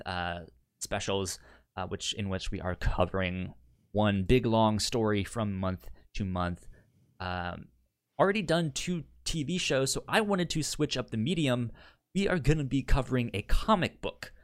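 A man with a fairly low voice, recorded dry and close. He chats informally as a podcast co-host, keen and nerdy, often stuttering on the first sounds of his words. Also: normal speed, dry recording. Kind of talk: casual unscripted podcast chat.

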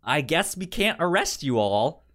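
A man co-hosting a casual film discussion, halting and often repeating words as he works out his point. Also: on the high side, sing-song, a bit bright. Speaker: podcast co-host.

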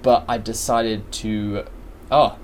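A man's voice: fairly even intonation, slow pace, slight background noise. Upbeat English guy with Aussie twang. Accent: English with an Australian twang. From England. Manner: upbeat, laughs often, breaks into high laughs. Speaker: podcast guest, content creator. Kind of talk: casual chat.

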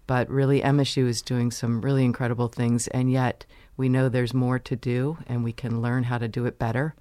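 An American woman, a university vice president, answering interview questions in a measured, explanatory way, pausing to choose her words. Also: very deep voice, a little mellow, dry recording. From United States.

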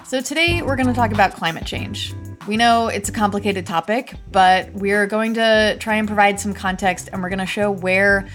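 A woman from the United States hosting a podcast, reading scripted narration in a clear, upbeat way. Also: mid-range voice, moderate pace, fairly bright tone, soft background music. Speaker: podcast host.

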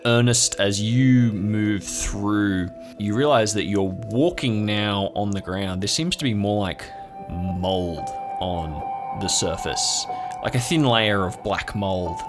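Low-pitched man narrating as game master. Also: slow pace, over music. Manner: descriptive, suspenseful storytelling. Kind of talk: improvised tabletop horror narration.

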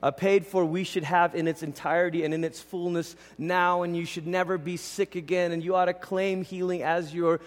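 Male pastor, from Malaysia, high voice, conversational teaching sermon, big reverberant hall. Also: flat delivery, moderate pace, few pauses. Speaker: pastor preaching. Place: large indoor church hall.

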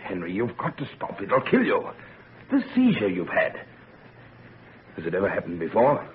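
A protective older father in a vintage radio play, urgent and imploring. His theatrical voice swings very widely in pitch, through a tinny, slightly noisy recording. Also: huge pitch swings, normal speed. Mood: pleading. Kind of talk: old-time radio drama acting.

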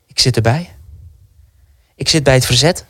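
A man's voice: medium pitch, normal speed, fairly bright tone, no room sound, studio quality, natural voice. A man acting an anxious, defiant young resistance recruit in a dramatic first-person radio play. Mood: relieved.

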